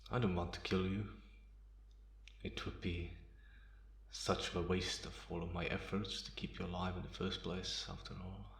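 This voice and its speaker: A calm, menacing vampire, played by a man for an ASMR roleplay, speaks very low with a gravelly creak. His delivery is deliberate and taunting, kept in a narrow, even range. The sound is roomy and echoing. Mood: quietly menacing. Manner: deliberate, softly chuckling, taunting. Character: calm, amused, menacing male vampire, once human. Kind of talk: ASMR roleplay, slow intimate monologue.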